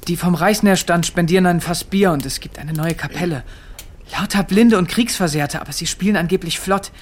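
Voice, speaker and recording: An actor playing a shy, earnest seventeen-year-old farm milker in a German radio drama. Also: male voice, sits high, a little fast. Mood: shy, hesitant. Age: young adult. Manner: hesitant, modest, earnest.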